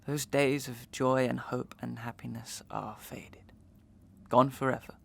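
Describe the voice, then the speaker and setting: A man performs a wronged, despairing young gentleman from a Victorian stage melodrama. His middle-pitched voice has a pronounced creak, and he declaims every phrase with noble, archaic passion. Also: level tone, unhurried. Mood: mournful farewell. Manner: declamatory, archaic, impassioned delivery. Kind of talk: Victorian stage melodrama acting in an audio drama.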